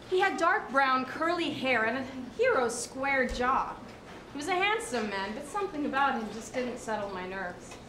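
Roomy, noisy live recording of a female reader, slightly high voice, performing a story dramatically. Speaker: drama student or college staff reader. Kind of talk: dramatic reading of a short story. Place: indoor public venue reading.

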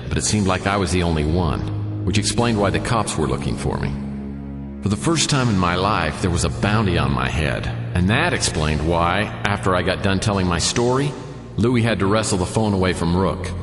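Deep-voiced, deadpan noir detective. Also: male voice, reverberant, in a large hall. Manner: deadpan, sardonic. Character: wry, world-weary male private detective narrating his case. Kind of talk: hardboiled radio drama narration.

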